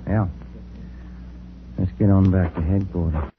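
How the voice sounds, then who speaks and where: A man's voice: low voice, fairly even intonation, measured pace. An actor playing an easygoing male detective partner in a 1940s radio crime drama, with a folksy, casual way of talking. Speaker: police detective, sergeant's partner. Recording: tinny old radio broadcast with hiss.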